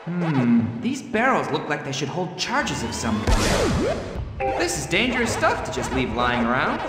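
A man's voice: on the high side, wildly swooping pitch, unhurried. A man playing a plucky, good-natured young cartoon hero in a video game, earnest and chipper. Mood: confident. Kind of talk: video game cutscene acting. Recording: echoey reverb, loud music.

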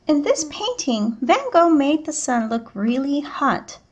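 A woman teacher reading a picture book aloud to children in a clear, expressive storytelling manner. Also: high-pitched, unhurried, lo-fi sound. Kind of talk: children's read-aloud narration.

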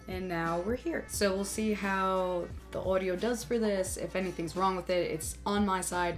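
A young woman vlogging solo on a mountain trail, chatty and explanatory as she walks through her gear and the route. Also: on the low side. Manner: chatty and explanatory, talking while hiking. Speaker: hiking vlogger.

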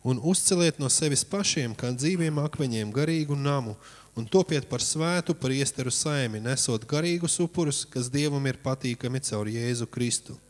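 A man in his thirties interprets a sermon live in short phrases, haltingly, with false starts and corrections. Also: mid-range voice. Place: church auditorium stage. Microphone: handheld microphone. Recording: long hall reverb from church PA.

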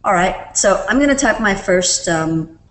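A woman presenting a screen-recorded software tutorial, explaining each step in a relaxed way with fillers. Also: mid-range voice, measured pace, slight background noise. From Canada.

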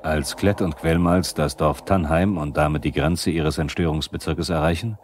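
A very low-voiced male narrator in a 1970s radio drama. He is sober and probing as he addresses the characters directly. The old vinyl recording carries faint noise. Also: fairly even intonation, moderate pace.

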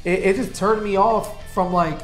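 A man's voice: high-pitched, fairly even intonation, moderate pace, echoey room, faint music behind. A young adult man giving casual, slangy reaction commentary as a panelist. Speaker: panelist in a trailer reaction show. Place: small living room on a couch.

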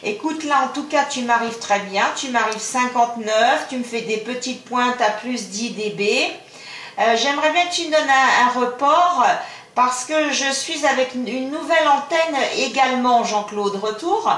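High-voiced woman in an echoey room. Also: a little slow, a bit bright, a little hiss or hum. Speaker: amateur CB radio operator. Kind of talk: casual radio chat. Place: small radio shack indoors. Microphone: camera filming the radio desk. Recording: echoey room, camera microphone.

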